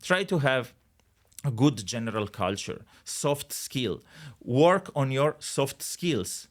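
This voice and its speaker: A middle-aged Italian man, a guest on a podcast, speaking English with an Italian accent in an animated, jokey way, with many restarts. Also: mid-range voice, takes it slowly. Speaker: guest, real estate agent. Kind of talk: casual podcast conversation.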